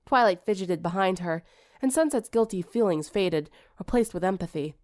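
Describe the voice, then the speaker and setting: A woman's voice: medium pitch, animated, moderate pace, a little mellow, no echo. Woman narrating a story, very dry sound. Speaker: audiobook narrator.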